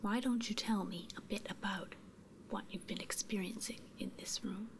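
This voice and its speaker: A woman performing an ASMR roleplay in a soft, breathy whisper, gently inquisitive and murmuring, close to the microphone. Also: medium pitch, fairly even intonation, normal speed. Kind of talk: whispered ASMR roleplay as a ghost removal technician.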